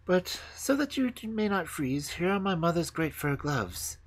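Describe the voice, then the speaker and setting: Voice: mid-range voice, sing-song, unhurried, voice pushed up. A storyteller voicing a fierce, playful little robber girl who commands and threatens in a fairy tale. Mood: brisk, bossy.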